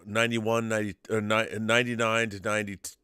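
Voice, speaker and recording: A man's voice: on the low side, little pitch movement, quick pace, sharp tone, smooth, dry recording, clean studio sound. A man co-hosting a casual fan debate, speaking emphatically and repeating words for stress. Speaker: co-host of a pop culture discussion show.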